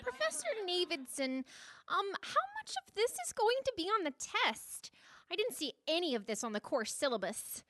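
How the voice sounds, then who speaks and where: Very high, squeaky timid student. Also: female voice, big pitch swings, moderate pace, a bit bright, a faint crowd, exaggerated voice. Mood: timid, puzzled. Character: timid, anxious young student in physics class. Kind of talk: comedic radio drama acting.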